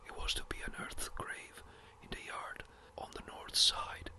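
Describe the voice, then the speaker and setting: A narrator whispering the lines of a brisk, somewhat impatient village rector in a ghost story. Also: close to an everyday voice. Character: fretful elderly village rector, a man.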